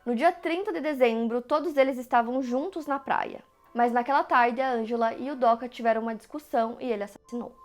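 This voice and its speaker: A woman's voice: on the high side, brisk, faint music behind. Brazilian woman narrating true crime. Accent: Brazilian Portuguese. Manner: steady, even retelling of events.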